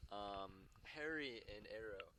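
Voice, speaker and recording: A man's voice: animated, measured pace, sharp tone, slight background noise, low-quality recording. Giggly teenage boy hosting a chat. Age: teens. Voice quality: deep voice. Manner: giggly, frequent bursts of laughter. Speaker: student podcast host. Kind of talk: casual chat.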